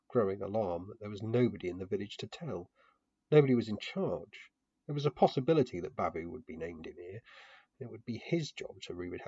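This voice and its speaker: A man's voice: lowish voice, dark tone, husky. A man narrating a story dramatically in the first person, in a muffled home recording. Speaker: narrator of a fantasy fiction podcast.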